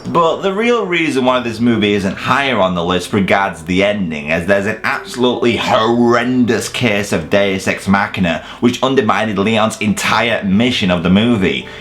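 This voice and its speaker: A man's voice: fairly low pitch, moderate pace, hardly any pauses, fairly bright tone. A man hosting a countdown review, delivering semi-scripted commentary in a jokey, casually sweary way. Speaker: video reviewer and host.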